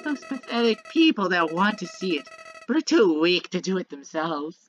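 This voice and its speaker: A woman's voice: on the low side, sing-song, normal speed. A woman voicing a sinister, childlike talking flower from a video game, bitter and manipulative, delivering a theatrical monologue that moves between sweet musing and menace. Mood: gleeful, menacing. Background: prominent music.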